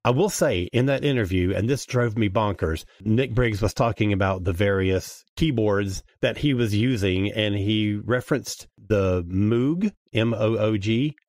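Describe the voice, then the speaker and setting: A man's voice: lowish voice, a little slow, hardly any pauses, a little mellow, no echo, clean studio sound. A man chatting casually as a guest on a podcast. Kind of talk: casual chat.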